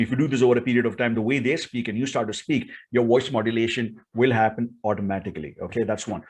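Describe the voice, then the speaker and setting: A man with an Indian accent giving an instructional talk as a speaking coach, emphatic and repetitive, often checking in with the listener. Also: mid-range voice. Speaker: voice and public speaking coach, presenter.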